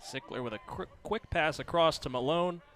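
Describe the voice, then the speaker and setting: A man's voice: on the high side, wildly swooping pitch, moderate pace, fairly bright tone. A man calling live sports as a play-by-play announcer on a broadcast.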